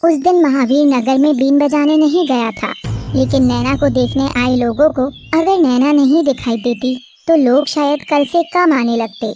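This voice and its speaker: A woman narrating an animated story in a steady storytelling cadence. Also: sits high, moderate pace, faint music behind.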